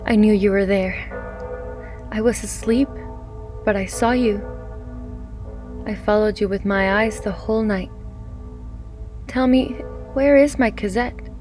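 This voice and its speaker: A woman's voice: mid-range voice, slow, long pauses, darkish tone, faint music behind, close to an everyday voice. A woman playing a frail, feverish young mother who pleads desperately for her child in a radio drama. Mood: feverish, yearning.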